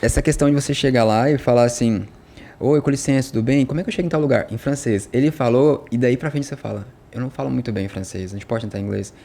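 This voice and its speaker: Brief: Brazilian man, guest; gravelly, quick delivery, mellow tone. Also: mid-range voice. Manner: animated storytelling with quoted dialogue. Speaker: podcast guest, language school owner. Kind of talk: casual conversation.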